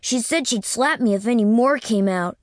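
A woman doing a high, bratty American boy. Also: lively intonation, normal speed, dry recording, studio quality, close to an everyday voice. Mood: complaining. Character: cheeky young American boy, brash and boastful.

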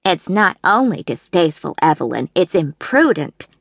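A woman's voice: fairly low pitch, very animated, normal speed, gravelly, breathy edge, no echo, exaggerated voice. A woman voicing a vain, self-conscious society woman who speaks primly. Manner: prim, agreeing.

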